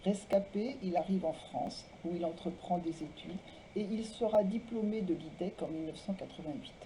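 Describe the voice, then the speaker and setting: Mellow-voiced woman reading aloud, faint noise. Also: lowish voice, a little fast, low-quality recording. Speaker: presenter reading writers' testimonies aloud. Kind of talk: literary talk, biographical introductions and read-aloud texts.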